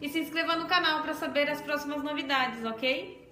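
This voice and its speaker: A woman, hosting a language lesson and reading a practice dialogue in a plain, deliberate way. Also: sits high, sharp tone, faint music behind. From Brazil. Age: 40s. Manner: plain, deliberate delivery of textbook phrases. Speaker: channel host, language lesson presenter. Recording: roomy echo.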